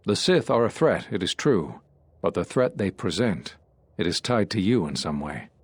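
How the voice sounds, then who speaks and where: A man playing an earnest, solemn Jedi master in a video game, husky and measured as he explains. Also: low-pitched, sing-song, dry recording, heavily acted. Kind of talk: video game dramatic acting.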